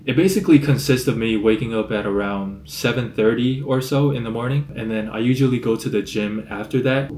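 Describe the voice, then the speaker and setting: A young man talks through his day in a loose, storytelling vlog style, with plenty of "like" fillers. His voice sits low and deep. The room around him is echoey, with a faint hum of ambience behind. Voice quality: deep in the morning. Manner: relaxed storytelling, lots of "like" fillers. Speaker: vlogger. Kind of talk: casual day-in-the-life vlog talk.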